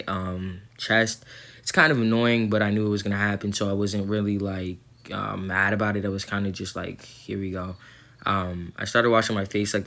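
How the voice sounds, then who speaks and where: A man's voice: lowish voice, fairly bright tone. A young man recording a casual vlog update, rambling with lots of ums and likes, his throat a little sore. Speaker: vlogger.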